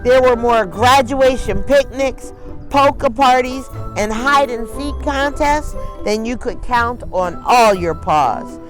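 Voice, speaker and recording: A woman storyteller reads a picture book aloud to children, expressively, in deliberate phrases with dramatic pauses. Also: a little high, lively intonation, faint music behind, poor audio.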